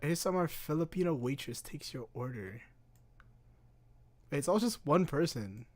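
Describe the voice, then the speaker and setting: A man's voice: on the high side, lively intonation, normal speed. A man streaming, chatting casually and animatedly as he reacts to videos, swearing and laughing along.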